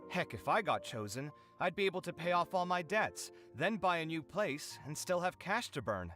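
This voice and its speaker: A man's voice: on the high side, big pitch swings, normal speed, pushed and bright. An actor playing a dramatic, earnest young man in an anime game, theatrical and articulate. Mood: excited, dreamy. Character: dramatic young male architect, debt-ridden, earnest daydreamer. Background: game music.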